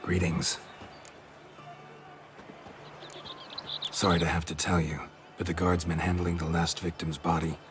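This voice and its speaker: Male actor as terse, dry monster hunter for game cutscene: very low gravelly voice, deadpan, clear music underneath. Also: brisk, close to an everyday voice. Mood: matter-of-fact. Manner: terse, deadpan, clipped sentences. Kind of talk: video game cutscene acting.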